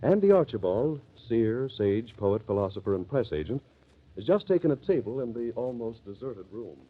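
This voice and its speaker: A man's voice: medium pitch, big pitch swings, normal speed, slightly rough. A man announcing and narrating a scripted 1950s radio drama. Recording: very dull lo-fi old radio recording. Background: faint music.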